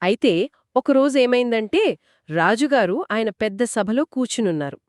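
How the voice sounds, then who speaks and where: A woman co-narrating a children's story in a conversational, expressive and engaging style. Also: a little high, moderate pace, no room sound, studio quality. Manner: expressive, engaging, acts out characters' quoted lines.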